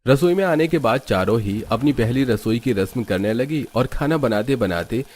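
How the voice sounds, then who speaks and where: A man's voice: mid-range voice, animated, normal speed, a little mellow. A man reading scripted storybook narration for a cartoon family tale.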